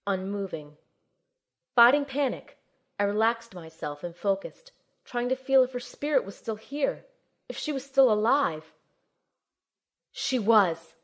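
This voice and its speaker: A woman's voice: mid-range voice, lightly acted. A woman playing an earnest, determined young operative, telling and acting out her mission expressively in an audio drama. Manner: expressive, storytelling. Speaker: narrator and lead character.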